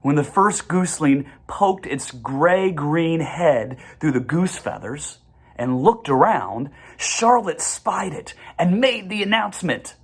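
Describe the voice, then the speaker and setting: A reader narrating a children's storybook aloud in measured, deliberate phrases with the occasional stumble. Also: mid-range voice, animated, breathy edge.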